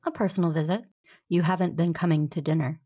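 An actress plays a solemn older woman diplomat with a low, breathy voice. She speaks formally and firmly, persistent but composed, in audio-drama style with music behind her. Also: steady tone, warm tone, highs cut off. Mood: calm, formal. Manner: formal, deliberate, firm. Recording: music under the voice.

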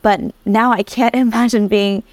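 A woman's voice: a little high, fairly even intonation, moderate pace, no echo. A woman from Vietnam speaking earnestly and reflectively as an interview guest about personal experience. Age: adult. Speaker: interview guest, career coach.

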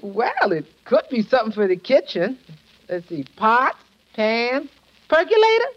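An actress playing a good-humoured, literal-minded cook and maid in a 1950s radio sitcom, with exuberant delivery. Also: female voice, fairly high pitch, sing-song, unhurried, a little hiss or hum. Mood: eager. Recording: muffled vintage radio recording.

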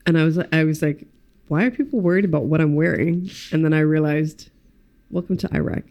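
A woman's voice: deep voice, a little fast, mellow. A young woman from the United States, a graphic designer talking candidly as a podcast guest, swearing casually and leaning on filler words. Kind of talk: casual conversation.

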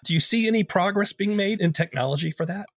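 A man's voice: high voice, fast, dry recording. A man hosting a podcast interview in a conversational way, putting questions and observations to a guest. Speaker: podcast host.

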